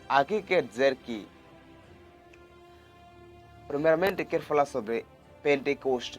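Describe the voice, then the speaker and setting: Earnest man teaching the Bible. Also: mid-range voice. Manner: earnest, repeats words and restarts, grows lively when retelling. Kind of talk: video Bible study, reading and explaining scripture.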